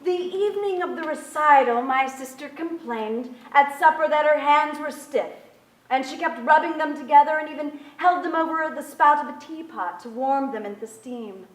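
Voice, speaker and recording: An actress playing a reflective narrator of family memories, reading in a theatrical literary style. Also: female voice, high-pitched, normal speed, recorded from a distance, close to an everyday voice. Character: reflective narrator recalling an older sister. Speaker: stage actor in a staged reading. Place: theatre stage. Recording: echoey theatre, picked up from afar.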